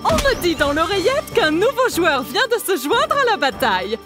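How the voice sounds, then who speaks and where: A woman's voice: extremely high pitch, very animated, normal speed, over loud music. A woman voicing a sarcastic, malicious demon TV news anchor in an exaggerated cartoon fandub style. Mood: gleefully mocking. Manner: sarcastic, theatrical.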